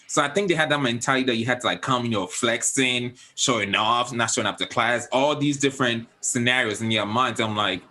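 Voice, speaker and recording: A young man hosts a relaxed panel conversation, his voice bright and a little husky. He tells stories animatedly and jumps up in pitch to quote people, with frequent laughs. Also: fairly quick, hardly any pauses. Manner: animated storyteller, laughs often, quotes others in a raised voice. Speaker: host. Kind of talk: casual panel chat.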